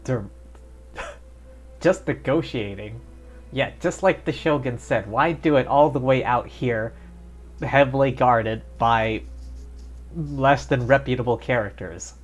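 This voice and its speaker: A man's voice: medium pitch, slow, long pauses. A man streaming a game, making wry, joking commentary over the cutscene dialogue with faint game music behind. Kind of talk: casual let's play commentary.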